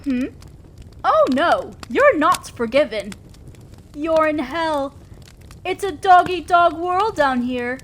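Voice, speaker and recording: A woman's voice: high-pitched, big pitch swings, takes it slowly, long pauses, a bit bright, full character voice. A woman playing a commanding, teasing but protective demon lord in a scripted audio roleplay, speaking to the listener in an imperious, theatrical way. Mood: mock menace. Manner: imperious, theatrical, mock-scolding. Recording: faint ambient noise, crackling fire.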